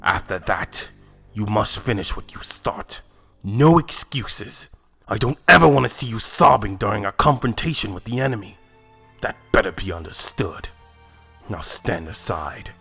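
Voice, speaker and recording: Casting: proud drill-master anime warrior, voiced by a man, harsh, commanding, forceful gravelly tone, faint music underneath. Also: on the low side, expressive, unhurried, heavily acted. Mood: stern. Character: Stern, hot-tempered male Saiyan warrior mentor. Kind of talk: fan-made anime roleplay voice acting.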